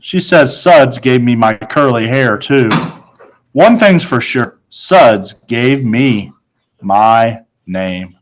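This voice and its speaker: A man narrating a children's novel in the first person as a schoolboy, in a measured storytelling manner. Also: mid-range voice, lively intonation. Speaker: storybook reader.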